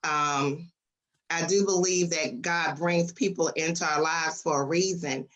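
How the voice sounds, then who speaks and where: Low-voiced woman, earnest guest. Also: steady tone, a little slow, a bit bright. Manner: earnest, grateful, affirming. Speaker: author and client of the publisher. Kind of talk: heartfelt guest testimony.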